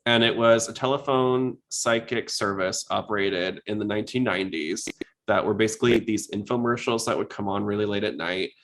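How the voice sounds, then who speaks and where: A man's voice: on the low side, brisk, a bit bright, rough recording. A man hosting a casual fan podcast, chatty and full of opinions. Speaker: podcast host.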